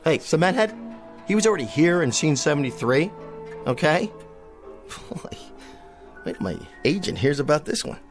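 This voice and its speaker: An actor playing a vain, pompous TV cowboy star who whines and rants theatrically. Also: male voice, a little high, sing-song, moderate pace, pauses often, soft background music. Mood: bewildered. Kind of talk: radio drama acting.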